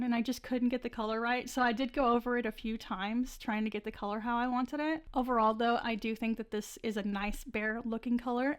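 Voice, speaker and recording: A young woman narrating her drawing process in a casual, chatty way, her voice a bit scratchy from the flu. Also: fairly high pitch. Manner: chatty, many likes and restarts, laughs often. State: scratchy voice, getting over flu. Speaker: art channel host.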